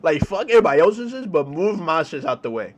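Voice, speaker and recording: A young man with a New York accent telling a story in a casual, slangy, animated way, repeating phrases for emphasis. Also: fairly high pitch, fast talker, a little hiss or hum. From New York City, United States. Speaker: storytelling streamer.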